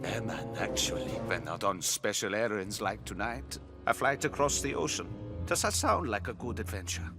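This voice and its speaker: Suave, menacing military villain, animated delivery. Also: male voice, on the low side, normal speed, music in the background. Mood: suave menace. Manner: mock-courteous, formal, commanding. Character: suave, menacing male Nazi colonel and airman, villain. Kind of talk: audio drama acting.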